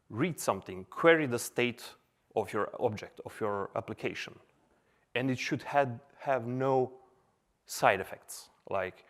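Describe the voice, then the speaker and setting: A man in his thirties from Serbia talks to a conference crowd in an easygoing, chatty way, with plenty of fillers. His voice sits at an ordinary middle pitch. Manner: casual, chatty with filler words. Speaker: conference speaker. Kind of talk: conference talk. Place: conference hall.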